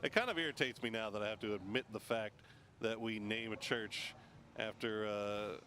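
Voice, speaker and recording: A man's voice: lowish voice, fairly even intonation, moderate pace, bright, forceful tone, slight background noise. A man giving live video game tournament commentary and bantering with a co-caster. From North America. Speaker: esports commentator.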